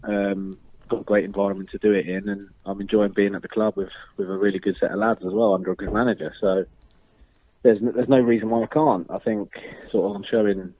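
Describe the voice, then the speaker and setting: A young man in his twenties, a footballer, answering interview questions in a measured, modest way over the phone, with many ums and restarts. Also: on the low side, little pitch movement, no echo. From Northern Ireland. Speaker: football striker, interview guest. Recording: tinny phone line.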